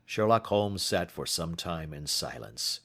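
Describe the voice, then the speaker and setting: Male audiobook narrator with lively intonation. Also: deep voice, measured pace. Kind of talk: scripted literary narration.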